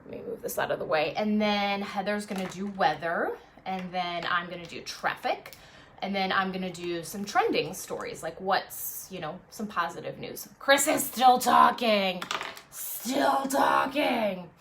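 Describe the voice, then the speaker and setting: A woman presenting traffic and news live from her apartment dining room on a phone, chatty off air and brisk on air, a little sniffly. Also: medium pitch, a bit bright. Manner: chatty and self-deprecating off air, brisk and upbeat on air. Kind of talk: casual live stream chat and on-air traffic report.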